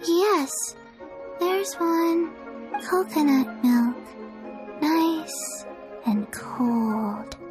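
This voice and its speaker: A listless little zombie girl from a video game. The words come out extremely slowly in a high, deadpan, halting voice, with music behind.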